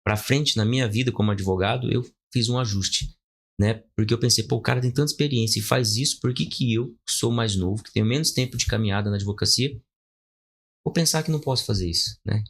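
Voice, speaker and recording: A man's voice: fairly low pitch, fast talker, slightly rough. A Brazilian man in his forties hosting a podcast, talking and asking questions in a conversational way. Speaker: podcast host, lawyer. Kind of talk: conversational interviewing.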